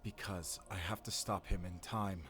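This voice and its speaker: A man playing a gravely wounded, stubborn monster hunter, speaking in terse, faint lines as if dying, in an audio roleplay. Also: sits low, fairly even intonation, normal speed, fairly bright tone. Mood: weak but resolute. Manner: terse, resolute, weak. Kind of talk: cinematic audio roleplay acting.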